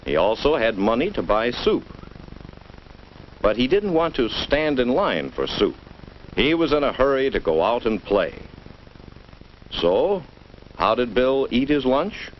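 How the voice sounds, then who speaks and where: Dull vintage film soundtrack, American man, slow pace, very varied intonation, 1950s educational narration, measured, addressing a child. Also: medium pitch, very animated, a little hiss or hum. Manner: measured, addresses the child directly with rhetorical questions.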